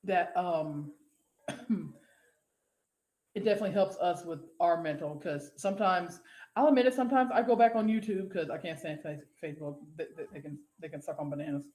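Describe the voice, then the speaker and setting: A woman's voice: fairly low pitch, steady tone, brisk, darkish tone, reverberant. A woman co-hosting a casual live show, reading out viewer comments in a rambling, profane way and laughing easily. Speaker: co-host.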